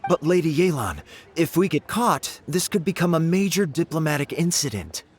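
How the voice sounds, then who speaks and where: A man's voice: a little high, lively intonation, moderate pace, slightly rough. A man playing an earnest, worried, deferential subordinate agent in a video game. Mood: worried, dutiful. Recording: music behind the voice.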